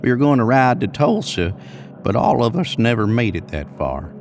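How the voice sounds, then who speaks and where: A man's voice: mid-range voice, very animated, normal speed, background noise. A man voicing an older, rambling American cowboy in an audio horror story, with a folksy, drawling manner. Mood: ominous, musing. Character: older rambling cowboy spinning a spooky trail tale.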